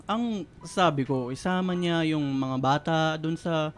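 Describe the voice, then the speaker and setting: Actor as domineering older father-in-law, smooth high voice. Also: unhurried, natural voice. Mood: cold, curt. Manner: scornful. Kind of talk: Filipino radio drama acting. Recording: music bed behind voice.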